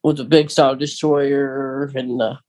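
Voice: medium pitch, little pitch movement, takes it slowly, darkish tone. A podcast co-host with a mid-range voice who leads the casual conversation and moves it along with questions. The speech is hesitant, full of "um" and self-restarts. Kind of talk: casual chat.

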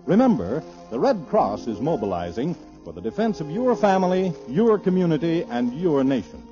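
Highish-voiced American man, old radio appeal. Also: big pitch swings, normal speed. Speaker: radio announcer. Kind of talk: scripted 1950s radio appeal. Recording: muffled old radio recording, music behind. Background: music.

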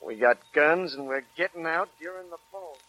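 An actor playing a cocky, menacing prison gangster, tough and taunting, in 1940s radio crime drama. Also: male voice, on the high side, brisk, pushed and bright, rough recording. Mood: cocky menace.

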